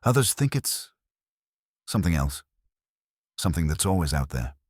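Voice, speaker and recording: A man's voice: a bass voice, very animated, normal speed, husky, no room sound, studio quality. A man giving a scripted first-person horror narration in a grave, suspenseful storytelling manner. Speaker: narrator of horror stories, posing as a trucker.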